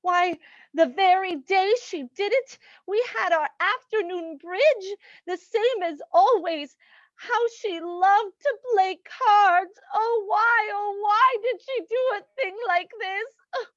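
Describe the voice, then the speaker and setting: Elderly radio-play lady, extremely high voice, slow, wailing, grief-stricken, fussy. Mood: tearful, distraught. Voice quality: tearful, wailing. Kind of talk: old-time radio play reading. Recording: dull, lo-fi sound.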